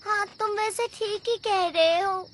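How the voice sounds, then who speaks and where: A woman's voice: extremely high pitch, measured pace. A woman voicing a fretful, bossy but caring robot cat in a cartoon dub, exclaiming and cautioning. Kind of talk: Hindi cartoon dub acting. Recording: loud music under the voice.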